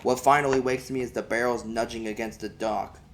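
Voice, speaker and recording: A man's voice: medium pitch, steady tone, fairly quick, slight background noise. A man reading a first-person novel aloud as an amateur audiobook narrator, in folksy storytelling style.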